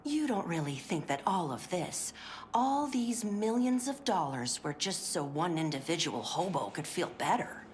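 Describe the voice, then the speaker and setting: A chirpy 1950s clinic worker, sugary and polite but visibly fraying and pill-addled. Her voice sits a little lower than you'd expect, and she drops into breathy whispers. A steady hiss of background noise runs under her lines, as in a game voice-line rip. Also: measured pace. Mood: frayed. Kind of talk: scripted game voice acting.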